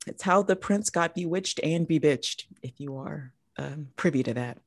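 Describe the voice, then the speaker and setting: A woman's voice: sits low, moderate pace, no echo, clean studio sound. A woman co-hosting a podcast in casual, joking conversation. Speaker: podcast co-host.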